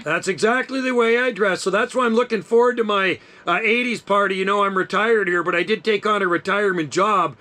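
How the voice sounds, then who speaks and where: A man in his sixties chats cheerfully over an amateur radio link. His voice is high and bright, and he tells his stories in an upbeat way. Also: a little fast, few pauses. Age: 60s. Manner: chatty, upbeat storyteller. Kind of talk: casual radio chat.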